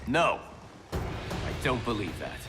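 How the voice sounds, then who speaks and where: An actor playing a loyal young warrior in a video game, speaking firmly and earnestly. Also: male voice, mid-range voice, sing-song, slow. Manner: firm, earnest. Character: earnest, loyal young warrior monk defending his mentor. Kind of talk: video game cutscene acting. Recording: music behind.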